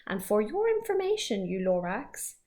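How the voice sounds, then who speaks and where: A woman's voice: mid-range voice, very animated, moderate pace, dark tone, natural voice. Lady doing a stubborn greedy factory guy, blustery and defiant.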